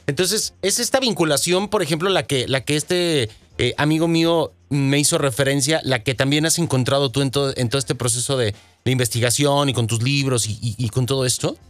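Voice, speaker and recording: A man's voice: mid-range voice, brisk, no echo, soft background music. A man hosting an interview in a conversational style. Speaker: radio interview host.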